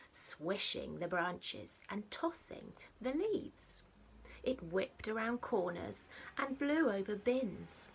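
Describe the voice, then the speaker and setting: A woman reading a picture book aloud to young children like a teacher, expressively, with dramatic pauses and stressed rhymes and sound words. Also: mid-range voice, creaky, fairly bright tone, slight background noise, low-quality recording. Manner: simple explanatory asides addressed to young children. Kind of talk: animated storybook read-aloud.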